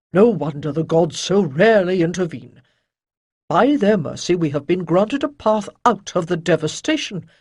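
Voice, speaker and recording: A narrator acts out an elderly male priest from a fantasy tale. He teaches calmly and chides gently in a husky voice, pausing for weight and moving expressively up and down in pitch. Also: dry recording, studio quality, heavily acted. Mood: chiding, mocking. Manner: measured, declamatory, pausing for weight. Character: wise old male priest, calm gently chiding teacher.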